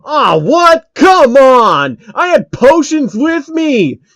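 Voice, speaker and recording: A man's voice: very high voice, very animated, slow pace, fairly bright tone, dry recording, clean studio sound, voice pushed up, full character voice. A man voicing a cocky, hot-tempered male game streamer from a story, whining and complaining indignantly. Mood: outraged.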